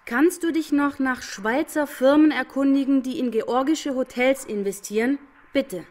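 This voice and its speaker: A woman's voice: high voice, measured pace, a little mellow. A woman playing an intimate, earnest character in a German crime radio play. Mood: earnest, uneasy.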